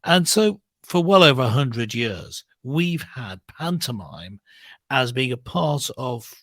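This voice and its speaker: A British man co-hosting a talk show, giving his opinion in an earnest, halting, conversational way. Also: mid-range voice, very animated, fairly bright tone, no echo, studio quality. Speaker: co-host of a talk show, clergyman.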